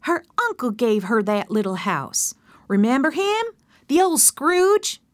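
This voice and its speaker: A woman's voice: high-pitched, big pitch swings, unhurried, dry recording, pitched above the performer's own voice. A woman voicing a chatty, eager gossip who loves passing on news. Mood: gossipy.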